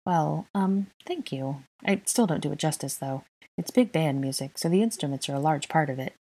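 Woman as a gentle, bashful young witch mother. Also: deep voice, expressive, moderate pace, slightly rough, exaggerated voice. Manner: gentle, modest, playful.

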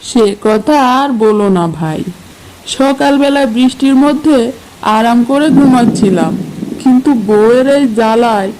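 Grumbling cartoon husband, exasperated, with a mellow, slightly high voice. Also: female voice, measured pace, a little hiss or hum, poor audio. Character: grumbling lazy village husband. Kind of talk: cartoon dubbing.

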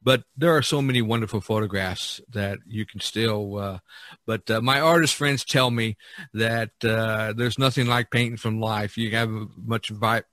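A middle-aged or older man who paints, talking casually and earnestly in a rambling, halting way about his work in an unscripted podcast conversation. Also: lowish voice, fairly even intonation, a bit bright, no room sound. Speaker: studio painter being interviewed in a role-play.

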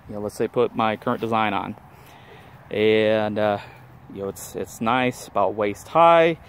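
A man filming a casual how-to video outdoors in a yard, talking in a relaxed, rambling way with lots of fillers while holding the camera. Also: fairly low pitch, measured pace, a little hiss or hum. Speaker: vlogger showing a DIY kayak rack.

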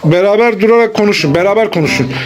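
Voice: mid-range voice, rapid-fire. A host playing with children in an improvised make-believe game, exclaiming, teasing and repeating phrases. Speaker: host of a family play video who grants wishes. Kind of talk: playful improvised play with children. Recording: loud background music. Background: music.

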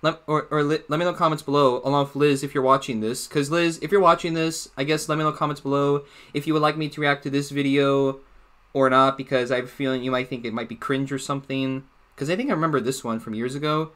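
A man's voice: mid-range voice, steady tone, quick pace, a bit bright. A young man giving casual reaction commentary in a rambling way, often repeating and rephrasing himself, recorded in a small room at home. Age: young adult. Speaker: reaction video host.

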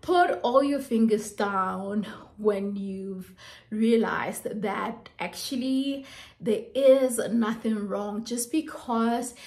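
Woman vlogger, slow unhurried delivery, reflective, chatty, outdoors. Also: medium pitch, a little mellow. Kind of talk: casual talk to camera. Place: outdoors, windy.

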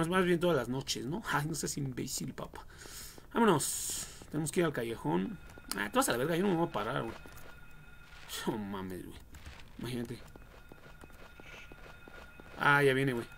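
Young male Mexican streamer, jokey and crude, fry-heavy voice, casual play-by-play over faint noise. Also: mid-range voice, a bit bright. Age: young adult. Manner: slangy, foul-mouthed, jokey. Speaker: livestream gamer. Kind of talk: casual game livestream commentary.

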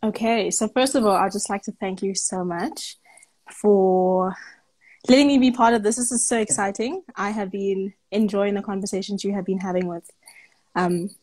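A woman in her twenties, from Uganda, speaking as a guest in a casual interview over a video call with earphones, warm and gushing. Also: mid-range voice, steady tone, normal speed, poor audio. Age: 20s. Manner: warm, gushing, laughs easily. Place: indoors by a sunny window. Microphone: earphone headset on a video call.

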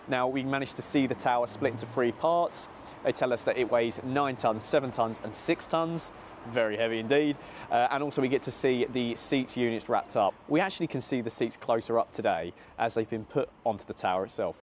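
A man's voice: medium pitch, brisk, slight background noise. A British man in his twenties presenting an update vlog to camera outdoors as the host. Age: 20s. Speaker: theme park vlog host. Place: outdoors by a seaside amusement park. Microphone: small clip-on mic on jacket, filmed at arm's length.

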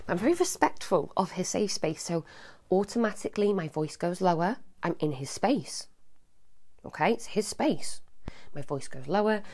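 Loud, animated woman in her thirties. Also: on the low side, moderate pace. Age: 30s. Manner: animated, loud, jumps between topics. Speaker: advice show host. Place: at home, walking through a child's room.

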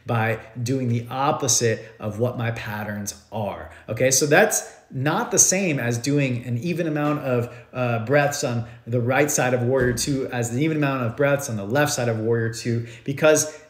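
A man in his thirties explains yoga ideas to a live audience from his living room. He talks animatedly and circles back over his own phrases, with his voice at an ordinary middle pitch. The room is bare and echoey, picked up on a phone camera held close. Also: moderate pace. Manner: animated, gestures a lot, loose and repetitive phrasing. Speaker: yoga teacher. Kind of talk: live-stream teaching talk. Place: living room at home, indoors.

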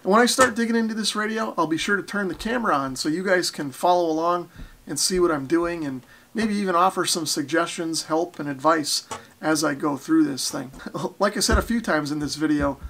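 Man, American, forties, slightly high voice, creaky, casual to-camera explanation, self-deprecating. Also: a bit bright. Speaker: ham radio vlogger. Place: basement radio workshop indoors.

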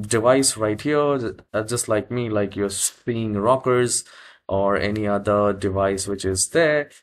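A man hosting a step-by-step software tutorial, speaking in an instructional way and repeating key steps. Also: fairly low pitch. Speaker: tutorial host.

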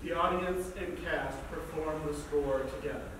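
A man reading stage directions aloud in a measured way at a staged play reading. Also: fairly high pitch, fairly even intonation, fairly bright tone, a little hiss or hum. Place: large indoor theatre stage. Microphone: far camera in audience. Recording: very echoey theatre, distant microphone.

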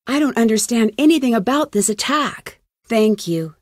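Young american girl detective in a video game, kinda high voice, nosy n determined, super dry studio sound. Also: lively intonation, normal speed, darkish tone, no room sound. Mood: polite, curious. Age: young adult. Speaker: video game lead character. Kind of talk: scripted game dialogue.

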